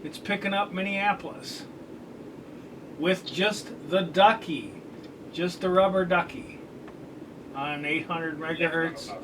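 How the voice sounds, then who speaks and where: A man's voice: high voice, steady tone, slow, long pauses. A middle-aged American man giving a casual product demonstration with folksy, colloquial phrasing. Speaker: radio scanner hobbyist and reviewer. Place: indoor room at home. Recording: distant camera mic, clearly noisy room. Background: radio scanner chatter, noise.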